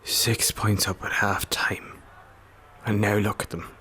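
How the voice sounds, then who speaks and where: A man playing a weary, calming, plain-spoken Irish father in a naturalistic radio drama. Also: lowish voice, slow pace, a little hiss or hum. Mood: casual.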